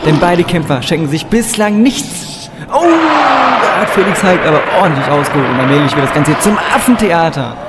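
A man playing an excited, theatrical ringside commentator in a comic audio drama. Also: on the high side, normal speed. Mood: showy, excited. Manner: theatrical, announcer-like. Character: excited showy male ringside fight commentator. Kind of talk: mock sports commentary. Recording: loud music behind the voice. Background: loud music.

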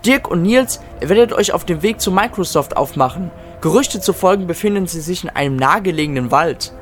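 A man's voice: sits high, lively intonation, fairly quick, soft background music. A man playing a frazzled team leader giving out orders in an amateur comic audio drama. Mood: urgent. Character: frazzled male team leader organizing a rescue. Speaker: team organizer.

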